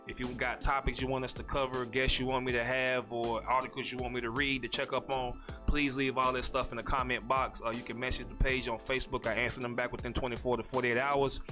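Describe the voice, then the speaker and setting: Fast-talking man with a stutter. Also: mid-range voice, level tone, music in the background. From North Carolina, USA. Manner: rambling, slangy, fast talker with a stutter. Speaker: podcast host. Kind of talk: casual solo podcast monologue.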